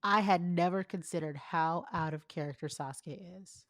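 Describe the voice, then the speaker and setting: A woman's voice: on the low side, moderate pace, no room sound, clean studio sound. A woman co-hosting a casual comedic fan fiction read-aloud, slangy and teasing, often breaking into giggles.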